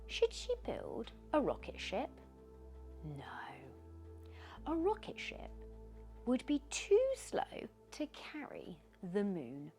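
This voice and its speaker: A woman reads a children's story at bedtime, gentle and expressive. Her pace is slow and her pitch swoops with plenty of variety. Music plays faintly behind her voice. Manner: expressive, gentle storytelling with questions posed to the listener. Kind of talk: bedtime story read aloud with short asides.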